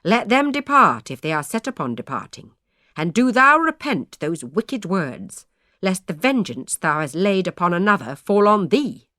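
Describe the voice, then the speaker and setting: A woman's voice: medium pitch, very animated, moderate pace, sharp tone, no echo, studio quality. A woman voicing a grave, severe old male parson who speaks in old-fashioned words.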